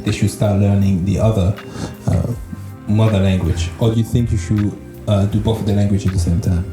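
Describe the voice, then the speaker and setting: A man with a slight French accent talks casually, looping back over his own words as he works toward a point. His voice sits in a medium pitch and carries the echo of a live room, with music playing behind him. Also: fairly even intonation, a little fast. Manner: repeats himself, restarts often. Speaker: podcast co-host. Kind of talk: casual chat.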